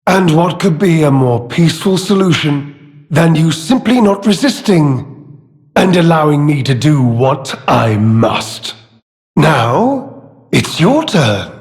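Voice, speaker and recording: A man playing a scheming, cowardly and self-serving informant in a video game, speaking in halting, theatrical phrases with mock politeness. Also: fairly high pitch, darkish tone. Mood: mock-polite, menacing. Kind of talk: video game character dialogue. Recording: echoey hall with long reverb.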